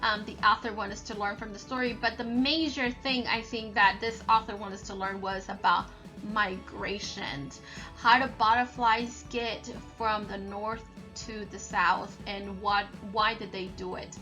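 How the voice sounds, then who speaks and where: A woman's voice: medium pitch, fairly even intonation, a little slow, pushed and bright, soft background music. A woman reading a children's story aloud and explaining it like a teacher.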